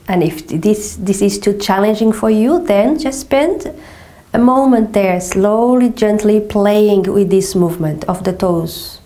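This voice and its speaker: A middle-aged Portuguese woman with a Portuguese accent, gently guiding a floor movement exercise with slow, calming cues and frequent check-ins. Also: medium pitch. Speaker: movement teacher. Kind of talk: guided somatic exercise instruction. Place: small carpeted room indoors.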